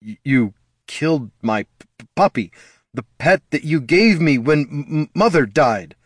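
A man voicing an indignant wizard, a wronged son who stammers and speaks in halting accusations, in a fantasy storybook. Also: mid-range voice, wildly swooping pitch, fairly bright tone, slightly rough, dry recording, studio quality, full character voice. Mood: hurt, accusing.

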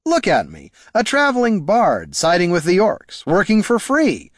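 A man's voice: high-pitched, huge pitch swings, measured pace, no room sound, voice pushed up, exaggerated voice. A man voicing a dramatic bard who is plaintive, self-pitying and theatrically aggrieved. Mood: aggrieved lament.

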